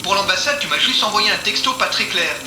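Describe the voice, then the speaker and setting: A man's voice: high-pitched, lively intonation, brisk, noisy background. Man playing a cynical, commanding interrogator in echoey room. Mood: cynical, smooth. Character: cynical, commanding male police intelligence interrogator. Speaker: actor in an audio drama. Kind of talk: French audio fiction acting. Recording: roomy echo, music underneath.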